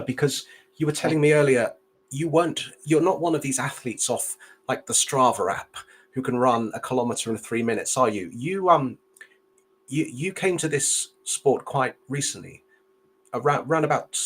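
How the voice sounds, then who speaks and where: A man's voice: medium pitch, slightly rough. A man hosting a live stream interview, polite and formal, with occasional fillers. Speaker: host.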